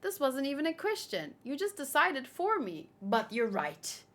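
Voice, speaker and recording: A woman doing casual livestream commentary, reading aloud and reacting in a chatty, expressive way. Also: high voice, a little slow. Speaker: manga read-along streamer.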